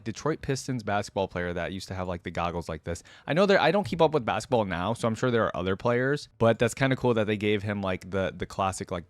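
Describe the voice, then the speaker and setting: A man's voice: lowish voice, no echo. A man hosting a reaction video with casual, informal commentary, thinking aloud as he goes.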